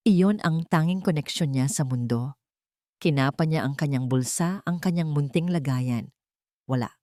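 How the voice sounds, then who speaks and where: A woman storyteller reads a scripted drama. Her voice sits low, and the sound is dry and close, with hardly any room around it. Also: normal speed, mellow, dry recording. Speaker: story narrator. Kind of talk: scripted dramatic narration of a Tagalog romance story.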